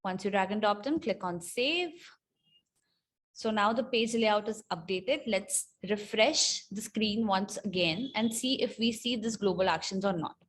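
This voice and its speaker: A woman presenting an unscripted software tutorial, explaining step by step in a somewhat halting, hesitant way. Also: medium pitch. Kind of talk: unscripted screen-share walkthrough.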